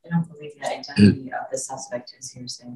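A woman's voice: rumbling low, fast talker, dark tone. A woman speaking as a prosecutor, putting formal questions to a witness in court. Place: courtroom, picked up from afar. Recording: echoey courtroom, distant mic, faint noise.

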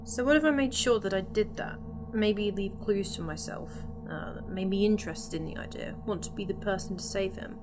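A woman's voice: medium pitch, moderate pace, over music, close to an everyday voice. A woman acting in a fan audio drama as an eager, curious time-travel companion, speaking in an informal, inquisitive way.

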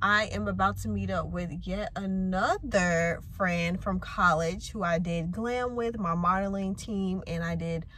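A woman's voice: medium pitch, steady tone, measured pace, hardly any pauses, fairly bright tone, slight background noise. Chatty young woman vlogging from her car. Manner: chatty, thinking aloud while driving. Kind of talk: casual vlog chat. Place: parked car. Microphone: phone camera at arm's length.

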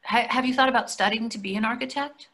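A woman's voice: fairly high pitch, quick pace, a bit bright. An older woman playing an anxious, needling therapist and wife, hesitant and defensive, in a play read over a video call. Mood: evasive.

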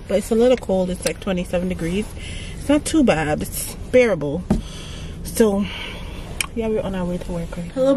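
A woman's voice: medium pitch, background noise. A woman in her forties with a Guyanese accent, chatting casually to her phone camera at arm's length while vlogging. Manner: relaxed, unhurried, chatty. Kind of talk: casual vlog chat to camera. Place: car and home kitchen. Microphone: handheld phone camera at arm's length.

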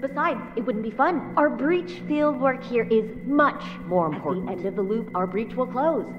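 A woman's voice: on the high side, expressive, normal speed, natural voice. Woman acting a haughty scientist, music behind. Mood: self-assured. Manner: clipped, scornful, precise, swearing. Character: haughty, impatient, contemptuous female scientist. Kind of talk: video game dialogue acting. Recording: slightly dull game audio under music.

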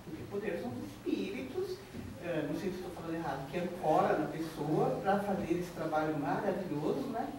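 Older Brazilian woman in the audience, hesitant and rambling, voice heard from afar in a very echoey room with clear hiss. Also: lowish voice, animated, fairly quick, distant mic. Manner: hesitant, full of "tipo assim", laughs nervously. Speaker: audience member. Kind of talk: earnest, rambling comment. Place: small room in a spiritist center.

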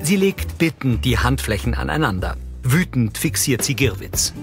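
A man's voice: medium pitch, big pitch swings, moderate pace. A man reading scripted scene descriptions in a neutral, factual manner. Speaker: audio description narrator. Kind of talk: scripted narration. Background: music.

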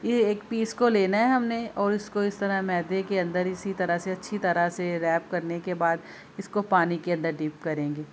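A woman's voice: fairly low pitch, flat delivery, moderate pace, slight background noise. Patient woman teaching home cooking. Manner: patient, repeats instructions, speaks directly to viewers. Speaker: home cooking video host. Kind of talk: step-by-step cooking demonstration. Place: home kitchen.

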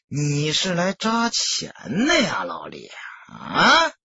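A man voicing a hot-tempered, defiant street tough in an audiobook, husky and blunt. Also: fairly high pitch, bright, forceful tone, dry recording, muffled recording, full character voice. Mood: scornful. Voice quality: husky, gruff. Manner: blunt, grumbling.

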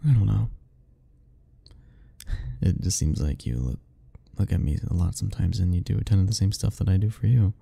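A young man softly speaking a tender, hesitant ASMR roleplay, musing aloud. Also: low-pitched, brisk, creaky, long pauses, husky. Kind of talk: soft-spoken ASMR roleplay.

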